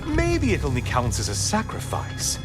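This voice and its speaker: Snarky male game captive: higher-pitched, extremely swoopy delivery, taunting, talkative and theatrical. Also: huge pitch swings, normal speed, over loud music. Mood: sardonic. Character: snarky, chattering, mocking male captive carried along. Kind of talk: dramatic video game acting.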